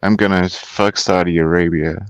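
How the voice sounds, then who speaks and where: A man with a lowish voice trades deadpan jokes in a loose podcast chat. The sound is close and dry, with no room around it. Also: normal speed, no echo. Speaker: podcast co-host.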